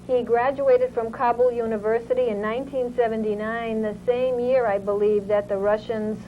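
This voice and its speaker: A woman hosting an interview show, introducing her guest in a measured way, with many pauses and fillers. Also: on the high side, steady tone. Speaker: talk show host. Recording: tinny phone-like audio with faint hiss.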